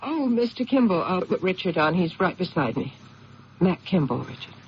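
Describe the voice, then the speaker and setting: A woman's voice: on the low side, big pitch swings, moderate pace. An actress playing a concerned, supportive woman, earnest and coaxing, in a 1970s radio drama. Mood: attentive. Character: concerned, supportive woman confiding with troubled man. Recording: dull, slightly noisy old radio recording.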